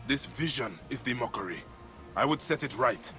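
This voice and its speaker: A man's voice: medium pitch, animated, moderate pace. An actor playing a grim, driven bereaved father and avenger in a video game, intense and demanding. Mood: resolute. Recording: long reverb, loud music behind.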